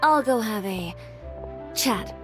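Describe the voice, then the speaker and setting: A woman playing a curt, self-assured young woman in a video game, with a blunt, deadpan delivery. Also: on the high side, slow pace. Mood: dry. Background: background music.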